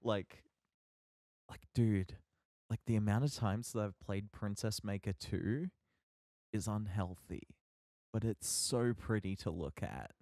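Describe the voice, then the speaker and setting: A man co-hosting a podcast, chatting casually and haltingly as he thinks aloud with lots of ums. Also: on the low side, mellow, no room sound, clean studio sound. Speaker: podcast co-host.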